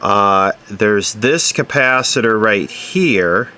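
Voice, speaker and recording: A man's voice: on the low side, expressive, takes it slowly, sharp tone. A man giving casual troubleshooting commentary as he repairs electronics, musing aloud and asking himself questions. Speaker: electronics repair hobbyist presenter.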